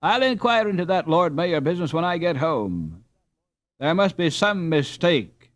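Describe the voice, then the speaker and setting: A man voicing an eager, excitable English schoolboy with exclamatory delivery in a storybook reading. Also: on the high side, measured pace, dry recording, pitched above the performer's own voice. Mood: bemused. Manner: exclamatory, animated.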